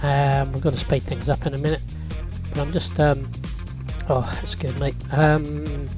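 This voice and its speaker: A man, hoarse and croaky from being sick, casually narrating a road trip and drone flight with easygoing fillers. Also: a little high, level tone, a little slow, over music. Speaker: vlog host. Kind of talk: casual travel vlog narration.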